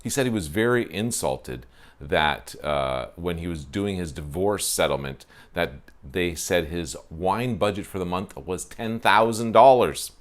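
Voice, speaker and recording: A man's voice: low voice, animated, a little slow. A man, hosting a wine show in a casual, self-deprecating way and talking to camera on a porch through a lapel mic. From Ontario, Canada. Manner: chatty, self-deprecating, frequent fillers. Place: covered porch, outdoors.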